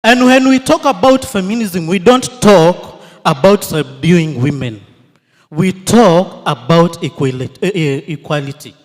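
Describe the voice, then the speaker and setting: A man, a historian on a panel, argues emphatically point by point before an audience in a large hall. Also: sits high. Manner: emphatic, measured points, mixes in Swahili. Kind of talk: impassioned public debate speech. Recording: long hall reverb.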